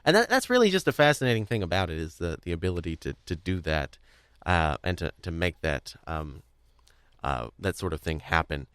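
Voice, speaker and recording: A young man with a fairly low voice chats casually as a podcast host. He talks in long, winding, hedged sentences. The sound is very dry, with no room echo. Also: very animated, fairly quick, fairly bright tone, clean tone. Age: young adult. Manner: rambling, hedging, wordy. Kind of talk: casual chat about audio drama craft.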